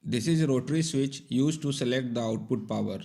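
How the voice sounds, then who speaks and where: A man's voice: mid-range voice, fairly quick, creaky, dark tone, slight background noise. A man narrating a scripted technical tutorial in a methodical, step-by-step instructional manner. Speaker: repair tutorial presenter.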